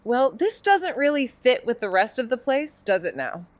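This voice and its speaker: A woman's voice: fairly high pitch, big pitch swings, moderate pace. A woman playing a sarcastic young adventurer, flippant and colloquial, in an audio drama. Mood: incredulous. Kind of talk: scripted fiction podcast acting.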